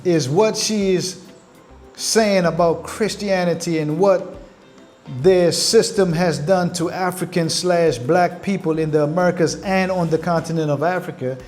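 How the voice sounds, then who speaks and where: An earnest man speaks to his viewers as family in a livestream, with a high voice. He takes his time and weighs each phrase. Soft music plays quietly behind him. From Caribbean. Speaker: channel host.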